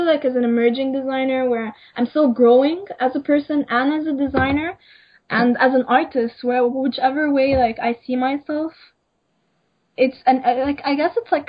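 A young woman, an emerging designer from Egypt, answering interview questions in a hesitant way with many fillers. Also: high voice. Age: young adult. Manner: hesitant, many ums and likes. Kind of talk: casual interview. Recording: dull lo-fi sound.

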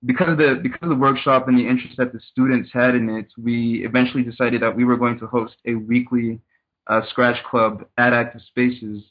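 A man from Cameroon, a startup consultant, answering interview questions in a measured way, repeating words as he thinks. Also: mid-range voice, level tone. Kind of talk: casual interview. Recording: tinny phone-like line.

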